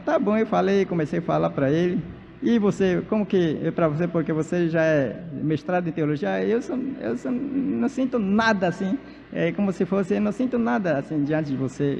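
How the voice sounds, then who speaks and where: A man whose first language is Baniwa, a pastor and missionary from Amazonas, preaching at a church conference in halting speech full of fillers and restarts. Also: high voice, gravelly, a little mellow, a little hiss or hum. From Amazonas, Brazil. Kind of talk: sermon at a church leaders' conference. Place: large church hall. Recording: dull, reverberant church PA recording.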